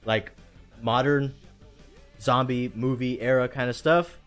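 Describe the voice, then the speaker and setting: A man's voice: mid-range voice, steady tone, takes it slowly. A man hosting a live stream in casual chat, enthusiastic and slangy, with music behind him.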